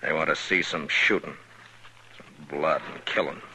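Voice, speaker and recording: An actor playing a calm, steady frontier marshal who speaks in a terse, measured way, in 1950s radio drama acting. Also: male voice, rumbling low, a little hiss or hum, full character voice, low-quality recording. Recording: old radio recording with music.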